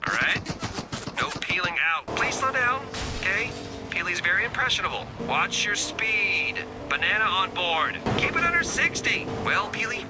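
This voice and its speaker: An actor playing a goofy, earnest resistance squad leader in a video game, coaxing and wry, with emphatic delivery. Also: male voice, sits high, expressive, normal speed, over loud music. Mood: sheepish, coaxing. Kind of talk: video game mission dialogue.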